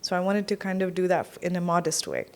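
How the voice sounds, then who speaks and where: A woman's voice: lowish voice, fairly even intonation, fast. A woman from Pakistan, a podcast guest and entrepreneur, chatting casually with lots of ums and switches between English and Urdu. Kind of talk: casual interview chat.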